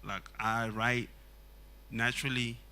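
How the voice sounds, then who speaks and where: Songwriter from Congo, a man, non-native English, earnest and reflective, bright forward tone. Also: mid-range voice, flat delivery, takes it slowly, a little hiss or hum. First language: Swahili. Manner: earnest, reflective, many fillers. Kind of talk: casual panel talk.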